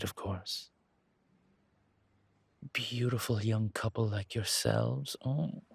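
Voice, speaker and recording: A flamboyant older charmer, acted by a man, purrs in a husky, slightly low voice. He lingers on his words and teases like a smooth club owner in a roleplay drama. The sound is dry and close. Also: no echo, exaggerated voice. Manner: purring, theatrical, teasing, drawn-out words.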